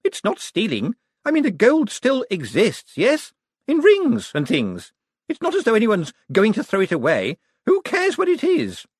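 A man's voice: sits high, huge pitch swings, measured pace, husky, dry recording, studio quality, voice pushed up, exaggerated voice. A man voicing a vain, petulant rich woman in an audiobook, chattering in a gushing, dismissive, self-righteous manner. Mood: gleeful, defiant. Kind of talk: audiobook character acting.

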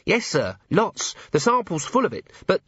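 A man's voice: high-pitched, very animated, moderate pace, fairly bright tone, husky, no room sound, muffled recording, voice pushed up, exaggerated voice. A man voicing a timid, earnest young female dwarf officer in an audiobook, eager and deferential. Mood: nervous, earnest.

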